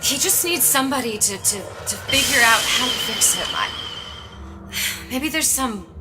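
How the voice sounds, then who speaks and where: A woman's voice: a little high, animated, measured pace, loud music behind the voice. An actress playing a frightened, desperate but determined young woman held captive in a video game. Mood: hesitant, soothing. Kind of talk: dramatic video game acting.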